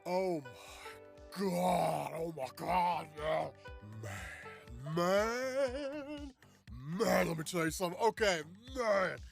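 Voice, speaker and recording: A man with a stuffy, nasal cold, recapping a story in an excited, gushing way and repeating words for emphasis. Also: high-pitched, drawn-out words, vocal fry, soft background music. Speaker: comics video host.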